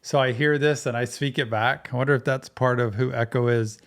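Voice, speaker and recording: A man's voice: mid-range voice, fairly even intonation, fairly quick. Thoughtful man doing casual reaction commentary. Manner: thoughtful, analytical. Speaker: psychotherapist reacting to a show.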